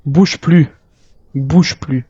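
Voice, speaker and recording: Mellow-voiced man narrating survival gameplay, dull mic. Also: fairly high pitch, very slow, slightly rough. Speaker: gamer roleplaying a zombie-island survivor. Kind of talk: in-character gameplay narration, improvised.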